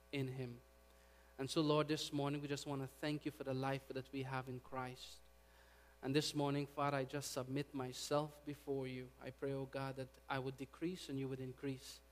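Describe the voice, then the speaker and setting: A man from Guyana with a Guyanese accent, preaching a sermon to his congregation and swinging between quiet prayer and loud, emphatic preaching. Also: mid-range voice. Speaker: pastor.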